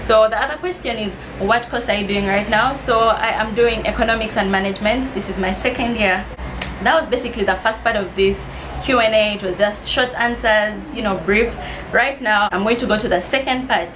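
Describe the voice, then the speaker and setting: A woman's voice: fairly high pitch, fairly quick, noisy background. A woman of about twenty from East Africa, chatting in a friendly, slightly nervous way as she answers get-to-know-me questions. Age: 20s. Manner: friendly, slightly nervous, reading questions aloud with soft laughs. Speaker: vlogger. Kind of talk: casual Q&A vlog.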